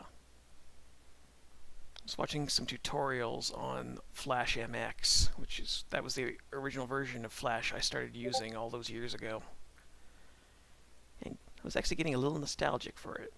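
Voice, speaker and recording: A middle-aged man chatting casually on a live stream while he draws, thinking aloud in a calm voice with halting restarts. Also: mid-range voice, gravelly. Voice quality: calm-sounding voice. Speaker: streamer and animator.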